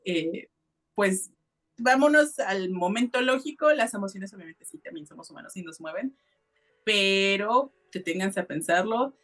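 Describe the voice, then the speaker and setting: A woman's voice: mid-range voice, a bit bright. A woman co-hosting a podcast in casual discussion, speaking directly to listeners with advice. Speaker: podcast co-host.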